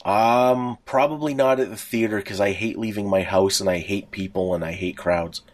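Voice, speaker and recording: A man's voice: fairly low pitch, steady tone, moderate pace. Wry middle-aged man in casual podcast chat. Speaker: podcast co-host. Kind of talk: casual podcast chat about pop culture.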